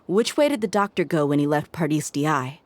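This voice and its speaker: A woman's voice: low-pitched, animated, fairly quick. An actress playing a shrewd, confident female mercenary tracker in a video game, with a cool, assured manner. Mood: confident, focused. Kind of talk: scripted video game voice acting. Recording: music behind the voice. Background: music.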